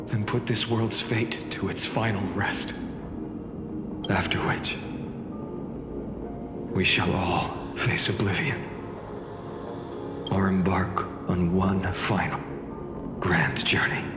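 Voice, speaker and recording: An actor playing a solemn, resolute young hero in a fantasy video game, speaking in an earnest, grave and measured way. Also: male voice, deep voice, steady tone. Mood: solemn, hopeful. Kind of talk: dramatic game voice acting. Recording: echoey hall reverb with music.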